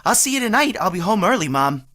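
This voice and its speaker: A woman's voice: mid-range voice, very animated, fast, a bit bright. A woman playing a timid, tongue-tied young man in a vintage-style radio play. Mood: bashful. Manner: stammering, timid.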